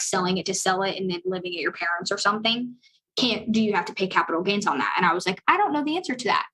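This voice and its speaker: A young woman with a high-pitched voice talking in an upbeat, earnest and chatty way as a guest on a podcast. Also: pushed and bright, low-quality recording. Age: young adult. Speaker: loan officer guest. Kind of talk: casual podcast conversation.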